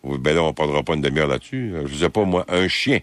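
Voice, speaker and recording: A man with a Quebec French accent hosting an overnight phone-in radio show, speaking slowly and hesitantly with drawn-out fillers. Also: very deep voice, expressive, no echo. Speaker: overnight radio call-in host. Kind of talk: late-night phone-in talk radio. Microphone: studio microphone.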